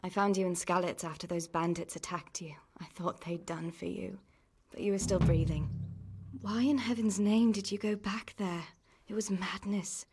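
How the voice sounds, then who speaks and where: A woman acting a caring, world-weary young miller's niece in a medieval video game drama, plain-spoken and gently chiding. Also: fairly low pitch, steady tone, moderate pace, a little hiss or hum, natural voice. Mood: soft, concerned.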